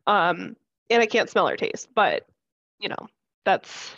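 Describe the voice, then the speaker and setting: A woman's voice: medium pitch, animated, slow, a bit bright, no echo, highs cut off. A congested woman co-hosting a podcast, talking casually with laughter and swearing. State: congested, stuffy nose. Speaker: podcast co-host.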